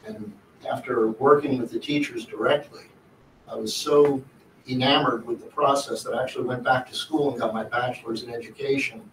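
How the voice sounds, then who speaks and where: A man's voice: mid-range voice, fairly even intonation, brisk, a bit bright. Earnest older man, distant echoey room. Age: 60s. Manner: earnest, rambling, with restarts. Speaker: board applicant. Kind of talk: public comment at a meeting. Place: school board meeting room. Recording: echoey room from far off, noisy.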